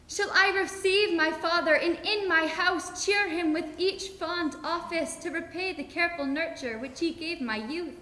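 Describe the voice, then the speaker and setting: A woman's voice: very high-pitched, bright, forceful tone, voice pushed up, full character voice. A young woman performing a Greek princess who begs her father for her life in a declamatory stage monologue. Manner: declamatory, pleading. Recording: echoey reverberant hall.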